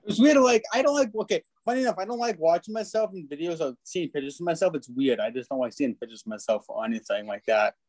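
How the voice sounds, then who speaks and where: A man's voice: fairly high pitch, lively intonation, fast talker, few pauses, darkish tone, no echo, poor audio. A young man chatting informally as an actor guest on a video call. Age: young adult. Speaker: amateur actor, panel guest. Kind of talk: casual chat.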